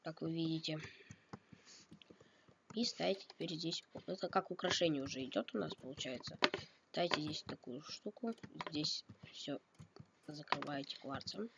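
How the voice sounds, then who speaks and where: Gravelly man rambling through a game tutorial. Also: a little high, moderate pace, pauses often. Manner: rambling, thinks aloud and corrects himself. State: a bit ill, coughing now and then. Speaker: game tutorial video maker. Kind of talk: casual screen-recorded game tutorial. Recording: dull lo-fi mic with noise. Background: keyboard and mouse clicks.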